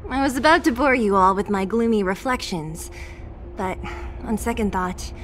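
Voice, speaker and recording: A woman's voice: medium pitch, normal speed, slight background noise, lightly acted. A woman voicing a composed young heroine in a fantasy video game. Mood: quiet, reflective. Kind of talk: dramatic video game voice acting. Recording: music behind the voice.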